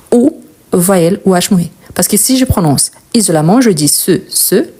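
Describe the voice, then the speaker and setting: A young woman from Brazil, a native Portuguese speaker, teaching French grammar as a teacher and slowly repeating example words for her learners. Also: fairly low pitch, a little mellow, breathy edge.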